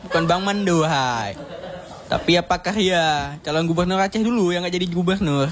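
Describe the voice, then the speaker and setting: A man's voice: high-pitched, normal speed, heavy background noise, lightly acted. A man playing a mocking classmate. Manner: mocking, laughing. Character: mocking young male classmate.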